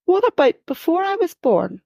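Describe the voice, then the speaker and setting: A woman voicing a quietly certain nine-year-old girl, with a gravelly edge. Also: extremely high pitch, big pitch swings, normal speed, dry recording, voice pushed up, full character voice. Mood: earnest.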